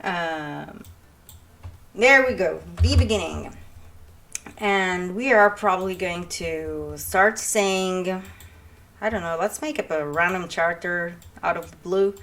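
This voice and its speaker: A woman's voice: fairly low pitch, slow pace, a bit bright, slight background noise. A young woman with an Italian accent, chatting casually and rambling through an introduction to her channel, with many fillers and restarts. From Italy. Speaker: writer and streamer.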